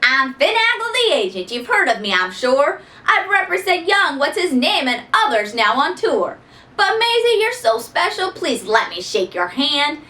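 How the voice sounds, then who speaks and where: A woman voicing a slick storybook talent agent, a flattering wheeler-dealer with salesman patter. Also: high voice, moderate pace, reverberant, far from the mic, voice pushed up, heavily acted. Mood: slick flattery.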